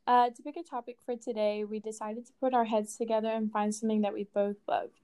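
A young woman from Colombia co-hosts a podcast and reads from a prepared script. Her voice sits a little high, with a warm, mellow tone and an upbeat delivery. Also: flat delivery, fast, smooth. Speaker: podcast co-host.

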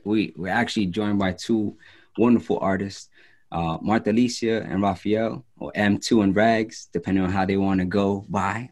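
Co-host, man, deep voice, easygoing and halting, introducing guests. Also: a little mellow. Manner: loose, halting, with "uh" and restarts. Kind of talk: hosting a discussion.

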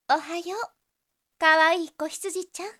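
Succubus temptress, high voice, unhurried coaxing, flirtatious, doting, audio drama. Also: female voice, bright, forceful tone, exaggerated voice. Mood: playful teasing. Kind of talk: Japanese situation voice drama acting.

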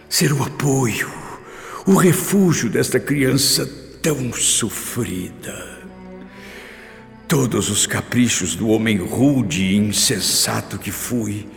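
A man's voice: lowish voice, creaky, heavily acted. An actor playing an anguished, repentant middle-aged father with a Brazilian accent, in a solemn, pleading radio drama monologue. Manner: slow, solemn, pleading delivery. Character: anguished repentant father, guilt-ridden former brute. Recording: long reverb with music behind. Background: music.

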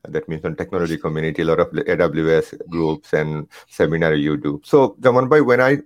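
Male host, very low voice, friendly and hesitant, dry recording. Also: dry recording. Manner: friendly, fillers, addresses guest as Bhai. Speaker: host of a blog interview series. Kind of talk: interview hosting.

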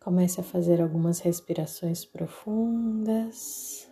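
A woman with a Brazilian accent narrating a guided meditation in a soothing, deliberate way, leaving long pauses. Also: on the low side, creaky, warm tone. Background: background music.

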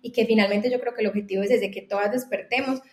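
A woman with a Colombian accent, a company founder and podcast guest, talking earnestly in a quick casual conversation with many restarts. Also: medium pitch, steady tone, darkish tone, echoey room.

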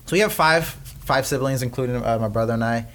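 A man's voice: mid-range voice, fairly bright tone. A young man from Peru, a company co-founder, chatting as an interview guest and telling family stories with lots of fillers. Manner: chatty storyteller, quick bursts with frequent fillers. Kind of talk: casual interview chat.

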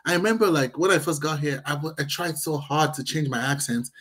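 A man in his thirties from Nigeria, with a partly Americanized Nigerian accent, talking emphatically in casual conversation. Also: on the high side. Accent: Nigerian-inflected, partly Americanized. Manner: emphatic and animated, quick restarts.

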